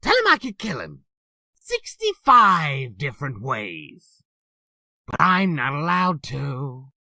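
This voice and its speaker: A man's voice: a little high, wildly swooping pitch, takes it slowly, pushed and bright, no echo, studio quality, heavily acted. A man voicing a gleeful, sadistic male demon clown who boasts with gory bravado in a fan comic dub. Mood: boastful.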